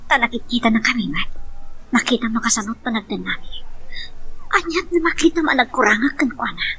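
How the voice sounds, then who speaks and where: A woman's voice: a little high, brisk, a bit bright, a little hiss or hum, natural voice, rough recording. A woman playing a worried, pleading mother in an amateur family love drama, speaking with an Ilocano accent. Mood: distraught, pleading.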